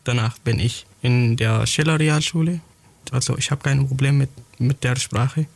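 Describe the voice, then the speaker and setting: A young man from Syria speaks German. He pauses and restarts while he hunts for words, and his voice has a gravelly edge. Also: medium pitch, fairly even intonation. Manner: halting, searching for words, restarts. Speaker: young refugee writer, interviewee. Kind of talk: thoughtful interview answers in learner German.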